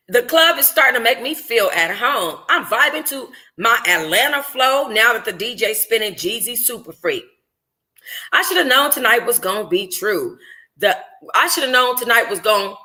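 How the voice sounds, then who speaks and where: Book club host: woman, bright forceful voice, informal and sassy, reading like a casual livestream. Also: fairly high pitch.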